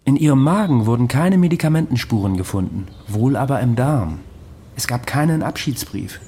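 Man playing a mellow, cynical investigator. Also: medium pitch, animated, moderate pace, a little hiss or hum, lightly acted. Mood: matter-of-fact. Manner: matter-of-fact, sceptical. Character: insistent, cynical male investigator, self-justifying. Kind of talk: radio drama dialogue.

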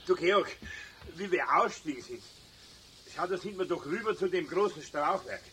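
Irritated, higher-voiced Bavarian man. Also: lively intonation, moderate pace, a bit bright, slight background noise, low-quality recording. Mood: nagging. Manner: exasperated grumbling. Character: irritated, impatient, bossy Bavarian day-tripper. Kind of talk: German radio play acting.

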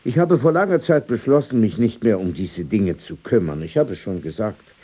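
Radio play: old ex-editor, mellow and rough-edged, wry, dismissive, opinionated storyteller. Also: male voice, fairly low pitch, animated, normal speed. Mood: disdainful. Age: older. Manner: sardonic, digressive, dismissive. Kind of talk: radio drama dialogue.

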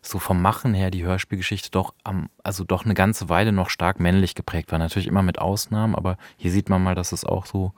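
A man's voice: sits low, level tone, brisk, hardly any pauses, dry recording. A man co-hosting a podcast, reflecting and explaining in an unscripted analytical conversation about radio plays. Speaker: podcast co-host.

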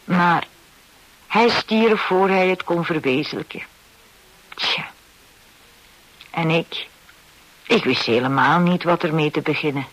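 An actress in a radio play voicing a middle-aged widowed hotel owner, brisk, expressive and persuasive. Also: female voice, on the low side, poor audio. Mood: reflective, wry. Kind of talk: radio drama, narration and dialogue.

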